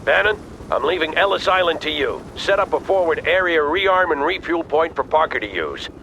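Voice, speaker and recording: An actor playing a stern, resolute army colonel in a military video game, speaking in clipped, authoritative orders. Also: male voice, a little high, lively intonation, normal speed, pushed and bright, dull audio, slight background noise. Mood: firm, approving. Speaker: military commander.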